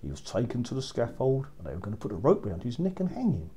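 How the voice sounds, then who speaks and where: A man narrating a children's story as a storyteller, with expressive, dramatic delivery. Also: mid-range voice, fast, a little hiss or hum.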